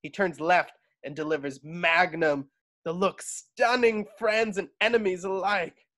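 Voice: mid-range voice, steady tone, measured pace, fairly bright tone. A narrator reading screenplay stage directions for a table read over a video call.

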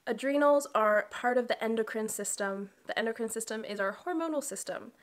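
A woman's voice: fairly high pitch, brisk. A woman in her thirties, slightly nasal from allergies, hosting her own channel and explaining health topics to camera from her living room in a chatty, explanatory way. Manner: warm, explanatory, with chatty asides. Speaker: wellness coach and channel host. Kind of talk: educational talk to camera.

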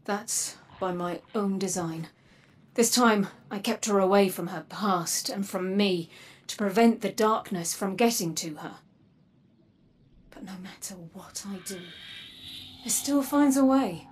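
An actress playing a grave, guarded woman warrior in a video game, measured and solemn, her breathy voice dropping toward a whisper. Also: mid-range voice, close to an everyday voice. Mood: grieving, grave. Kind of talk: dramatic video game acting. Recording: game music underneath. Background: music.

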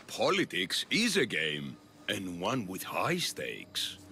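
Theatrical, swooping Athenian demagogue. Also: male voice, low-pitched, wildly swooping pitch, takes it slowly, creaky, bright, forceful tone, slight background noise, full character voice. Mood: insinuating. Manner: oratorical, insinuating, grandiose. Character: scheming, theatrical populist male politician of ancient Athens. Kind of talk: dramatic video game dialogue.

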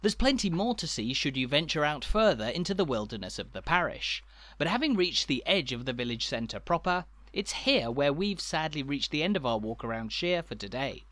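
A man narrates a scripted walking tour. His voice sits slightly high and moves with very lively intonation. The phrasing is polished and measured, like a travel documentary. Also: no room sound.

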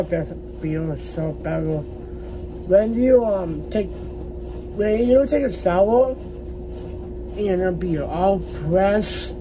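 A man in his early twenties chatting casually to a vlog audience in a halting, rambling way, often repeating words and short phrases. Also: high-pitched, background noise. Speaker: vlogger. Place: small laundry room beside a kitchen at home, indoors. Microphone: phone at arm's length.

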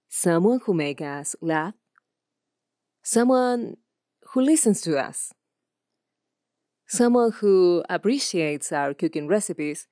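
A woman's voice: lowish voice, a little mellow, no echo, studio quality. A woman from Argentina with an Argentine Spanish accent, a native Spanish speaker, reading scripted commercial and e-learning narration as a voice-over artist.

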